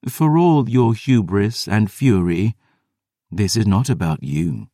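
A man voicing a cold, cunning undead woman who speaks in a measured, sly way. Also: lowish voice, big pitch swings, dark tone, no echo, clean studio sound, voice pushed up, exaggerated voice. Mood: cool.

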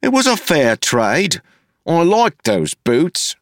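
A man doing a crude, grumbling young constable who complains in rough, folksy speech. His pitch rises above the reader's own and swings about. The sound is close and dry. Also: wildly swooping pitch, takes it slowly, no room sound, heavily acted. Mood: cheeky.

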